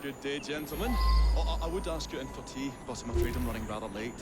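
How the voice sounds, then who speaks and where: A man playing an eccentric, polite, nervy young Victorian gentleman inventor in a video game, with a British accent and a hesitant, wordy, theatrical way of talking. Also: medium pitch, quick pace, over loud music, natural voice. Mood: flustered, polite. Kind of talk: video game cutscene acting.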